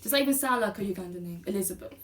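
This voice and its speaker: A woman's voice: mid-range voice, lively intonation, racing, fairly bright tone, slight background noise. A young woman reading aloud in a casual chat, stumbling over words and giggling. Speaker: guest co-host.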